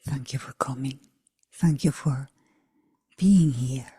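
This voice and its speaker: A woman leading a guided meditation for a group in a hushed, breathy near whisper, unhurried, with long pauses between short phrases. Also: rumbling low, no echo, studio quality. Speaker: meditation teacher.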